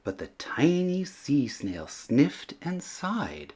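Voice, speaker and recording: Male storyteller for rhyming picture books, very animated pitch, slow and dramatic pacing. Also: breathy edge. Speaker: storyteller reading a children's picture book aloud. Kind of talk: expressive rhyming picture-book narration.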